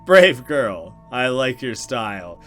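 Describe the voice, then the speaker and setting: A man's voice: mid-range voice, sing-song, slow pace, fairly bright tone, faint music behind. A man voicing a cheeky, blunt young woman, a chatty friend in a visual novel. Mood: teasing. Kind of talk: visual novel dialogue read aloud.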